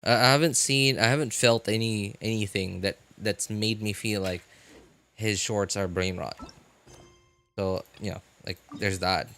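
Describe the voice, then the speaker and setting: Low-voiced man, halting and rambling. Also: steady tone, pushed and bright. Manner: halting, rambling, repeats phrases. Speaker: livestream host. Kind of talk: casual unscripted chat with stream viewers.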